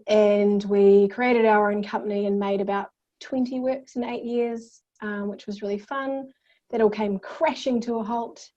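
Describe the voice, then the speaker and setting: A woman's voice: medium pitch, a little mellow. New woman on a muffled lo-fi video call, hesitant, lots of ums. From New Zealand. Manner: hesitant, with many ums and uhs. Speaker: theatre manager. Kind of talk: casual self-introduction on a video call.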